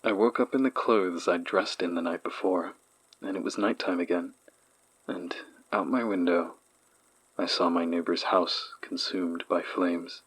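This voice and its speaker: Low, husky, haunted man played by an actor. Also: fairly even intonation, unhurried, rough recording. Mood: haunted, weary. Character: Nathan, haunted man recalling childhood horrors. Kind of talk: dramatic first-person horror narration.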